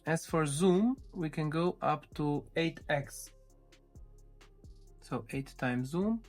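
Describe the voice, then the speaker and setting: A man with a somewhat high-pitched voice talks through a device as a tech reviewer. His delivery is informal and goes step by step, like a casual unboxing commentary. Faint music plays behind him. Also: animated, takes it slowly, a little mellow. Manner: informal, step-by-step narrating while handling a device.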